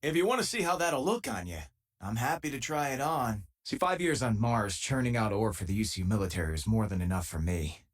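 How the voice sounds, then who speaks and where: An actor playing an easygoing, wry spacefaring miner and loyal companion in a video game, with a husky voice and a casual, dry-humoured way of talking. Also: male voice, on the low side, animated, brisk. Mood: relaxed, wry. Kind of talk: scripted game voice lines, natural conversational delivery.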